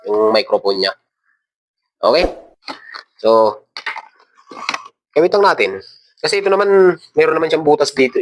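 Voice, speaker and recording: A Filipino man with a mid-pitched voice hosts a casual how-to. He talks informally, walking the listener through each step while his hands are busy with the work. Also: a bit bright, slight background noise. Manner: informal, instructing step by step while handling parts. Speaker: tutorial host. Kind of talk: casual hands-on tutorial talk.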